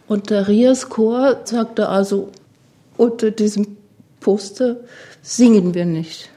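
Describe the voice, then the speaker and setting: A woman's voice: medium pitch, mellow. An older woman recalling events she witnessed, in the style of a personal interview. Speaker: interviewed eyewitness. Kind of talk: personal recollection.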